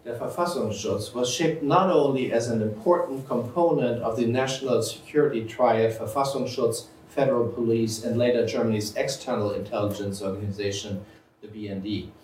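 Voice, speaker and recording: A man giving a measured, methodical academic lecture as a historian, now and then clearing his throat. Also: medium pitch, fairly even intonation, a little mellow. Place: lecture room. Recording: roomy, from far off, faint hiss.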